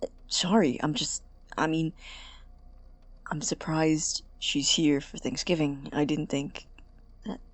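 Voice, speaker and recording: A woman playing an anxious, guarded college-age young man in an audio drama, speaking haltingly and apologetically. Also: low voice, steady tone, no room sound. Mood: nervous, apologetic. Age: young adult. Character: awkward, anxious young male college student, studious and guarded. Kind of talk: naturalistic audio drama acting.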